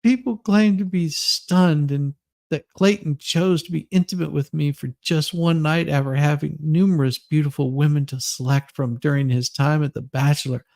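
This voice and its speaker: A man's voice: on the high side, fairly even intonation, normal speed, gravelly, darkish tone, no room sound, studio quality. A man voicing an aggrieved thirty-something woman in a theatrical, overwrought dramatic reading of her self-pitying post.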